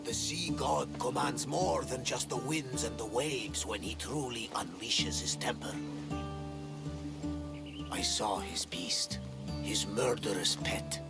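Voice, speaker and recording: An actor playing a weathered, hearty one-eyed sea captain and former soldier in a video game, telling things in a theatrical, expansive way with a gravelly voice. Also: male voice, medium pitch, takes it slowly. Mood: grave, haunted. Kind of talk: video game cutscene acting. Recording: music behind the dialogue.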